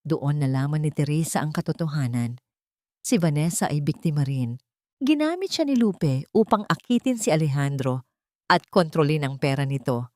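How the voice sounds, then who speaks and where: Low-voiced woman narrating drama. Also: lively intonation, moderate pace, darkish tone, no room sound. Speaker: audiobook narrator. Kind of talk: scripted dramatic story narration.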